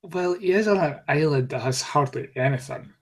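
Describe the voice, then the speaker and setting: A man's voice: a little high, moderate pace. A man co-hosting a podcast, chatting casually in a joking, rambling way. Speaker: podcast co-host. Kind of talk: casual chat.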